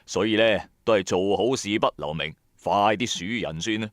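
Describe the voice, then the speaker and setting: A man with a Hong Kong Cantonese accent telling a first-person story in an expressive, colloquial way, with chatty asides to the listener. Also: fairly low pitch, moderate pace, no room sound, studio quality. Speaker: storyteller, narrator. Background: background music.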